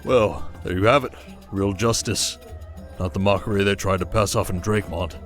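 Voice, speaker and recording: Man playing a wry, low-pitched young king. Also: steady tone, moderate pace, faint music behind, close to an everyday voice. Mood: dry, regal. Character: wry, teasing young king, devoted husband. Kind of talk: audio drama acting, ASMR roleplay.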